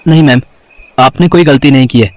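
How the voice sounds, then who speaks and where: A man's voice: mid-range voice, fairly quick, a little mellow, dry recording, natural voice. A man acting a lovestruck young male student in a dramatized story, earnest, hesitant and pleading. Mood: pleading, insistent.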